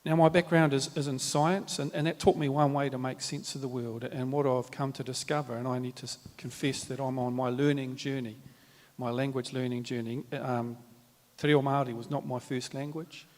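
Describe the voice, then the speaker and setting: A man with a New Zealand accent, speaking at a live panel in a measured, reflective way with many fillers and restarts. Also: medium pitch, fairly even intonation, darkish tone. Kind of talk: formal panel talk with Māori greetings. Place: large hall at a live event. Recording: reverberant hall.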